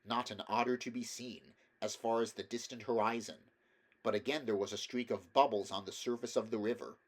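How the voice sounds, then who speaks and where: A man narrating a children's animal story as an expressive audiobook reader. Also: lowish voice, fairly quick.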